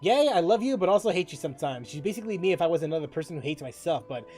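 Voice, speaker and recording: A streamer giving casual gameplay commentary, excitable and joking as they react to game dialogue. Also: medium pitch, racing. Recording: game music behind the voice.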